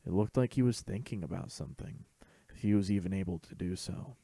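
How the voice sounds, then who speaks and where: A man's voice: low-pitched, fairly quick, vocal fry, mellow, breathy edge, no echo. A man reading a scripted fan fiction story as host and narrator.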